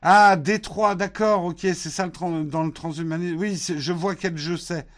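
A man from France hosting a casual morning live stream, answering viewer questions in a relaxed, hesitant way with many fillers. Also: high voice, moderate pace. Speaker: host of a morning live show answering chat.

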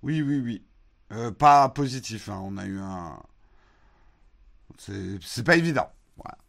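A man from France hosting a casual morning live stream, answering viewer questions in a relaxed, hesitant way with many fillers. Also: on the low side, measured pace, creaky. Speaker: host of a morning live show answering chat.

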